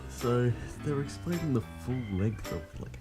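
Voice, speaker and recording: A man's voice: mid-range voice, expressive, normal speed, over music. A man reading fanfiction aloud with frequent slips and restarts, then chatting casually about it. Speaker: fanfiction reader.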